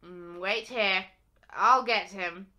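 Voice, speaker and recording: Slow, suspicious gatekeeper acted by a woman. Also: mid-range voice, bright, forceful tone. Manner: suspicious, probing. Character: suspicious gatekeeper to an old witness. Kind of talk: amateur radio drama acting.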